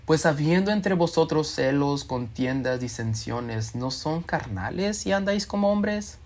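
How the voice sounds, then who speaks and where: A man delivers a sermon. He reads scripture aloud and acts out quoted speakers with expressive shifts in his voice. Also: a little high, a little slow. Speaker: preacher.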